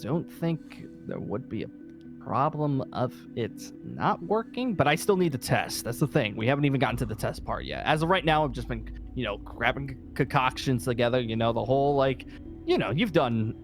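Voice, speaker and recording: A man playing a weary, irritable survivor leader in an improvised zombie game roleplay, talking in a halting, rambling, emphatic way. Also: medium pitch, animated, faint music behind, natural voice. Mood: hesitant, hopeful. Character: weary, irritable safe-haven leader secretly seeking a zombie cure.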